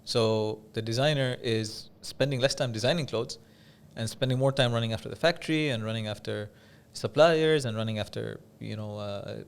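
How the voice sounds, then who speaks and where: A man from Dubai speaking as an interview guest, telling stories in a measured, conversational way with pauses and repeated phrases for emphasis. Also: medium pitch, vocal fry, clean tone. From Dubai, UAE. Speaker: podcast guest, business coach.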